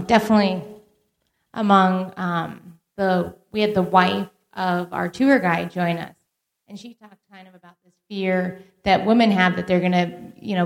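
A woman recounting conversations from a tour in a casual group discussion, measured with hesitant fillers. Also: on the low side, gravelly. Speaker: trip panelist. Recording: echoey room.

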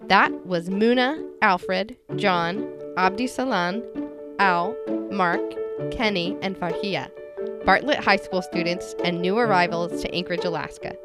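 A woman hosting a public radio feature, reading scripted narration in a measured, clear and friendly way. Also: lowish voice, loud music behind the voice.

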